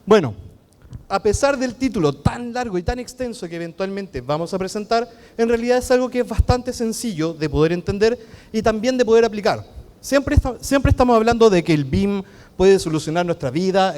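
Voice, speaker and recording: A Chilean man with a Chilean Spanish accent giving a conference talk as a technology consultant, talking fast and checking in with the audience often. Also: sits high, darkish tone. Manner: fast-talking, engages the audience with frequent "¿ok?" tags. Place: large conference hall. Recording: long reverb of a large hall.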